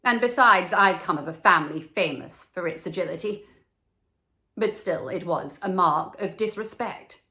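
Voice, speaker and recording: A woman's voice: on the low side, normal speed, bright, forceful tone. A woman voicing a proud, boastful little male swallow in a storybook, with haughty, emphatic delivery. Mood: haughty, indignant.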